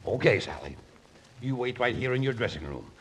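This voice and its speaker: Blustering comic phony duke, swooping voice. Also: male voice, medium pitch, very animated, brisk, fairly bright tone. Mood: eager, wisecracking. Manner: pompous, hammy, wisecracking. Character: comic blustering waiter posing as a Grand Duke. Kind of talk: 1950s radio comedy acting. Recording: faintly noisy old radio broadcast.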